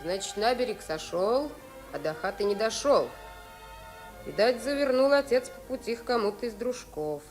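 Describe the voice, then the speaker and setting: A woman's voice: a little high, expressive, normal speed, soft background music, lightly acted. An actress playing a bold, teasing young sailor girl with brash, colloquial speech in a 1950s Soviet radio drama. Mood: cheeky, brash. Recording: old 1950s radio recording.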